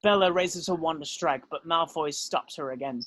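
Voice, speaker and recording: A man's voice: a little high, normal speed, a bit bright, no room sound. A man reading stage directions as narrator in a scripted style.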